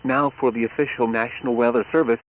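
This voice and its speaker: A computer-generated male voice reading a weather broadcast in a measured, instructional way. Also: medium pitch, fairly even intonation. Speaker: weather radio announcer. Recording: tinny radio broadcast with faint hiss.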